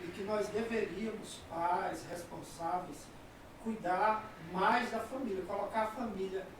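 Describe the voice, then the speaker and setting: A Brazilian man in his forties, a talk show guest speaking calmly and giving personal examples. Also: sits high, measured pace, background noise. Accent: Brazilian Portuguese. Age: 40s. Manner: calm, agreeing, tells personal examples. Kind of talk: conversational talk. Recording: echoey room, voice from far off.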